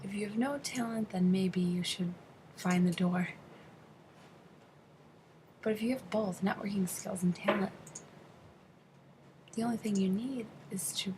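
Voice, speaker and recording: A woman working as an interpreter, voicing an interview answer in the first person, phrase by phrase with halting delivery. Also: mid-range voice, background noise. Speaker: sign language interpreter voicing a deaf interviewee.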